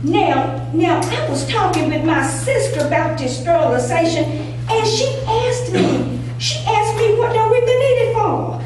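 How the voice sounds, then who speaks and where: A woman's voice: extremely high pitch, expressive, unhurried. A woman playing a timid, deferential Southern country mother who stammers politely in a staged play reading. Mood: nervous, deferential. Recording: echoey hall, distant mic, noisy background.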